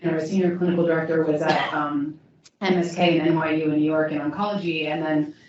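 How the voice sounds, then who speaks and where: A woman founder answering a panel question in a steady, measured way, with frequent restarts. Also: deep voice, a little hiss or hum. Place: event hall. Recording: distant mic in echoey hall, dull sound.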